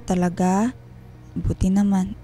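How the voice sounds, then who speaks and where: A woman's voice: medium pitch, flat delivery, slow, warm tone, lightly acted. An actress playing a homesick, uneasy wife and mother in a radio drama. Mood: guarded, suspicious. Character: worried, homesick wife and mother working abroad, quietly suspicious. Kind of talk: Filipino radio drama acting. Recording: music behind the voice.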